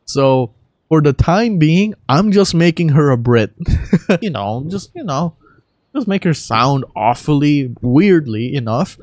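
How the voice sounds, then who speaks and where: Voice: medium pitch, lively intonation, slow, a little mellow, no echo. An amateur voice actor chatting casually between takes, swearing freely and poking fun at their own attempts. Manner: foul-mouthed, self-mocking.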